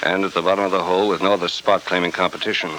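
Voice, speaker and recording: A fast-talking, deep hard-boiled adventurer. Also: male voice, flat delivery, pushed and bright. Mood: wry narrating. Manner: clipped, tough, wry. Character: hard-boiled American adventurer hero, cool and wry. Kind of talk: 1940s radio acting, scripted first-person narration. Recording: very noisy 1949 radio transcription.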